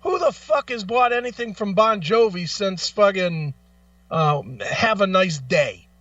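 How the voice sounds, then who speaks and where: A man with a high, bright voice delivers an opinionated talk show rant. He is blunt, profane and emphatic, firing off rhetorical questions. The audio is dry but a little dull and lo-fi. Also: lively intonation, measured pace, no room sound, distant mic.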